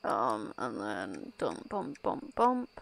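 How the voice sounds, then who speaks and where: A woman's voice: a bass voice, huge pitch swings, takes it slowly, creaky, a bit bright. A young woman from Ireland chatting casually while streaming a game, rambling and breaking off mid-thought. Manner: rambling, self-interrupting, laughs often. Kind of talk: casual gameplay chat.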